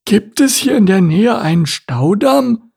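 Storybook tortoise, female, timid and caring, performed by a man; raised, slightly husky voice, earnest worry. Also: sits high, expressive, moderate pace, darkish tone, pitched above the performer's own voice, full character voice. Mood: alarmed, cautioning. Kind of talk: storybook character voice.

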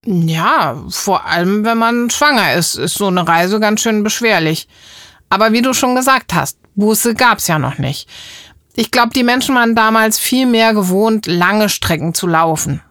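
A woman's voice: medium pitch, animated, measured pace, fairly bright tone, dry recording, studio quality. A woman hosting a children's show, chatting casually with a child in a friendly, caring way. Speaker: host chatting with a child character.

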